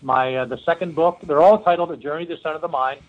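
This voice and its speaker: A man's voice: a little high, steady tone, fast, phone-quality sound. Middle-aged Philly-accented man, loose rambling guest storyteller. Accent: Philadelphia. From Philadelphia. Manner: rambling, many fillers and restarts. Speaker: forensic linguist guest. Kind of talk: casual interview storytelling.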